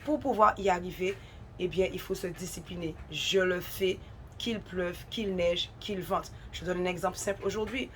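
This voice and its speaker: A woman's voice: lowish voice, fairly even intonation, normal speed, a bit bright, a little hiss or hum. Woman with a head cold, exhorting. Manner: earnest, exhortative, repeats for emphasis. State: has a head cold, runny nose. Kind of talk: devotional encouragement talk.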